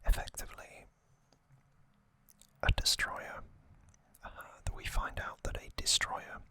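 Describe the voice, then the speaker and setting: ASMR host: breathy whisper, unhurried and halting, sharp bright texture, informal recap style. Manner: slow, halting delivery in short phrases.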